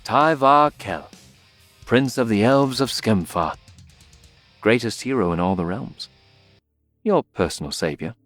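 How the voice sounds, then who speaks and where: A man voicing a young, muscular elf prince in a fantasy audio story, relaxed, boastful and sure he is a great hero. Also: mid-range voice, measured pace, long pauses, faint music behind. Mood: grandly boastful. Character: cocky, youthful muscular elf prince and self-styled hero. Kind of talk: dramatized fiction audiobook.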